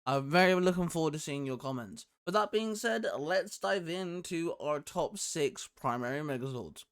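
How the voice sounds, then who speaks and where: A man with a slightly high-pitched voice hosts a casual chat video. He speaks emphatically and with enthusiasm, like someone walking viewers through a favourites list. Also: expressive, moderate pace, a bit bright. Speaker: video host. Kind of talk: casual chat about a top six list.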